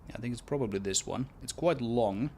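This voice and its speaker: A man's voice: mid-range voice, brisk, background noise. A man with a Swedish accent, a game developer chatting casually on a livestream and thinking aloud as he works, often repeating words. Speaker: solo game developer streaming. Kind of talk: casual livestream chat while working.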